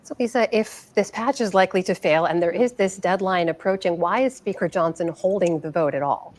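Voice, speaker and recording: A woman's voice: on the low side, fairly quick, a little mellow. A woman news anchor presenting and asking questions in broadcast style.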